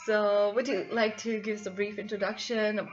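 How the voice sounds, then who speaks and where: Halting woman interviewer, dull lo-fi recording. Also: mid-range voice, level tone. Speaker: student interviewer. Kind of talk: semi-formal interview for a school project. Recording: dull lo-fi audio, faint music.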